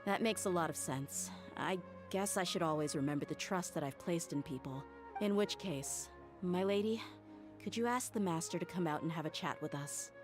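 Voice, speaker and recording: A blunt, loyal mercenary woman, voiced by an actress, speaks in a firm, lower voice. Her delivery is steady and direct. Soft game music plays behind her. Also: normal speed, lightly acted. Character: tough female mercenary bodyguard, blunt and loyal.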